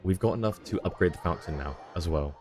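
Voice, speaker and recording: A man's voice: sits low, fast, darkish tone, soft background music. A man live-streaming a video game, chatting casually with viewers as he plays. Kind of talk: casual chat while playing a game for viewers.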